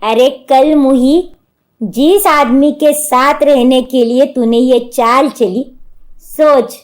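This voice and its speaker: A woman's voice: high-pitched, slow. A woman voicing a bossy, hot-tempered mother-in-law in a cartoon story, theatrical and scolding in manner. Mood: threatening.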